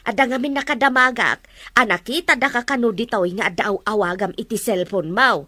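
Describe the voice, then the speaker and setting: A woman plays a middle-aged Filipino mother in a family drama, talkative and full of concern. Her voice sits high and the sound is dry. She chides and counsels in an everyday, natural way. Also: fairly quick, pushed and bright, no room sound. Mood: chiding, curious.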